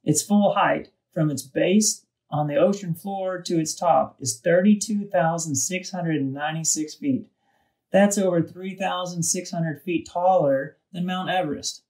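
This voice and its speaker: A man's voice: high-pitched, a little slow, darkish tone. A man reading a scripted devotional for children, recorded at some distance from the microphone. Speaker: kids' devotional reader.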